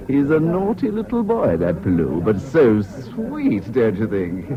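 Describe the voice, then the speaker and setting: A man's voice: medium pitch, huge pitch swings, slow pace, music in the background. Gushing camp young Englishman, extravagantly swooping. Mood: gushing, teasing. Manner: drawling, theatrical, effusive. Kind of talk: 1970s British radio drama acting. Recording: dull old radio, party chatter behind.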